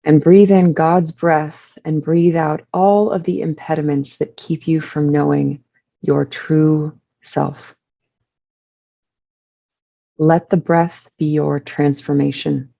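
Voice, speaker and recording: A woman leading a guided meditation, giving soothing instructions in measured short phrases with pauses between them. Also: low voice, fairly even intonation, gravelly, muffled recording.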